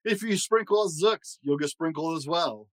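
A man's voice: fairly high pitch, animated, moderate pace, no echo, close to an everyday voice. A man voicing a taunting enemy soldier in a storybook, boastfully calling out threats in rhyme.

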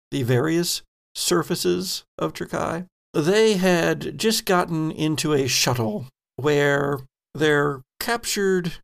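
A man with a creaky, gravel-edged voice runs a horror tabletop game. He takes his time, telling the story dramatically and pausing deliberately as game master. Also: on the high side. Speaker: game master, podcast host. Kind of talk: narrating a tabletop horror role-playing game.

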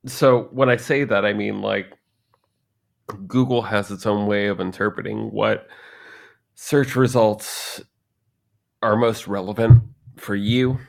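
A man's voice: lowish voice, steady tone, slow pace, gravelly, pauses often. A man in his thirties co-hosting a casual podcast chat, rambling and joking, often acting out the people he quotes. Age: 30s. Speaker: podcast co-host.

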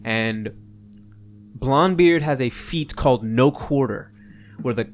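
A man's voice: medium pitch, slow, slight background noise. A man working as game master, narrating a tabletop game casually and playfully.

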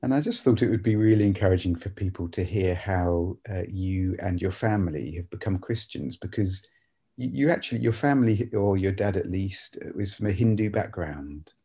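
A man hosts a church podcast interview with a low, husky voice. His tone is mellow and relaxed, and he asks his questions in an earnest, encouraging way. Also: normal speed, lo-fi sound.

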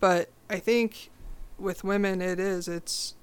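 A young woman with a low voice, talking as a podcast guest in a direct, confident, casual way. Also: slow, clean tone. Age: young adult. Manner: confident, direct, animated storytelling. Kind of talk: casual interview chat.

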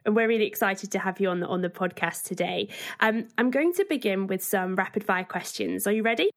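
A woman's voice: medium pitch, a little fast. A woman podcast host putting friendly, prepared interview questions to a guest.

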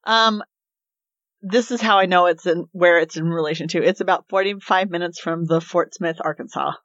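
A woman's voice: lowish voice, moderate pace, a bit bright, dry recording. A woman in her forties from Oklahoma, a podcast guest telling rambling stories about her childhood with frequent laughs. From Oklahoma, USA. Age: 40s. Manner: chatty, giggly, rambling storyteller. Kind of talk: casual interview chat. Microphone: studio mic. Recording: slightly dull, dry studio sound.

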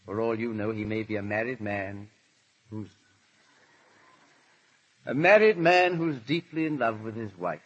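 An actor with an English accent playing a foppish, witty aristocrat hiding deep feeling, with urbane banter in a 1930s radio drama. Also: male voice, measured pace, long pauses, breathy edge. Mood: teasing, tender. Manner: languid, urbane, drawling banter. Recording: muffled vintage 1930s radio recording.

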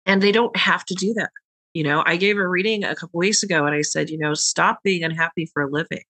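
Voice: mid-range voice, fast, sharp tone, dry recording, rough recording. A podcast host and tarot reader talking casually and frankly, swearing now and then and rambling a little.

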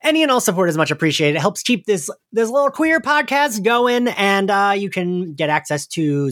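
A man's voice: high voice, a bit bright, no room sound. A man hosts a podcast in casual conversation. The sound is very dry, with no room around his voice. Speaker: podcast host.

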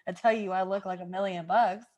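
A woman's voice: medium pitch, fairly even intonation, a little fast, a little mellow, highs cut off, pitched below the performer's own voice, lightly acted. A woman playing a flustered, suspicious customer in an improvised comedy sketch, hesitant and then indignant. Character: flustered, suspicious female dog-sitting customer phoning in.

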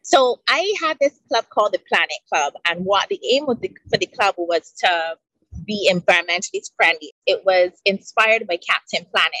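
A woman's voice: medium pitch, normal speed, sharp tone, no room sound, poor audio. A woman in her thirties from Barbados, a podcast guest telling earnest stories about her childhood, recorded outdoors by the ocean. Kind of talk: relaxed interview chat. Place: outdoors by the sea.

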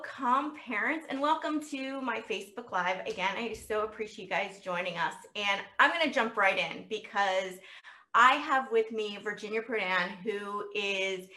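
A woman's voice: on the high side, a little slow, pushed and bright. Upbeat woman hosting a podcast. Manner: upbeat, enthusiastic. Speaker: podcast host, parenting coach. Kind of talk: podcast intro and live interview hosting.

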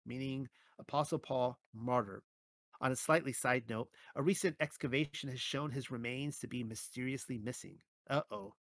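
A man's voice: mid-range voice, a bit bright, no room sound. A man reading his own religious article aloud, quoting scripture and adding dry, wry asides. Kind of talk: reading and commenting on a written article.

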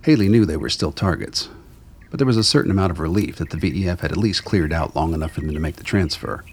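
Male storyteller, extremely deep, creaky, husky, scripted radio drama narration. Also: quick pace, slight background noise.

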